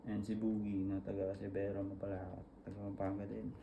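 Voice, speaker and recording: A man with a Filipino accent giving a casual hands-on tutorial, talking informally and haltingly as he works through each step. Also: on the low side, completely flat delivery, gravelly, lo-fi sound, noisy background. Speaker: vlogger demonstrating a product installation.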